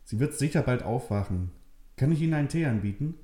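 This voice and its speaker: A man's voice: medium pitch, expressive, fast, voice pushed up, close to an everyday voice. A man reading a story, voicing a courteous, kind young man who is a little sheepish, in a roomy-sounding recording. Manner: courteous, gently evasive. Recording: roomy echo.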